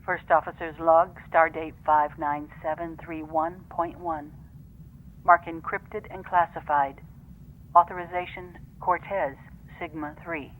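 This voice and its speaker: A woman playing a serious female first officer who dictates formal, measured log entries in a fan audio drama. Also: deep voice, steady tone, poor audio.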